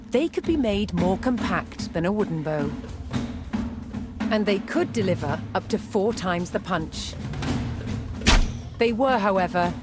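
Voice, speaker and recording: A woman narrating a documentary from a script with measured, dramatic delivery. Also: medium pitch. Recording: loud music behind. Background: music.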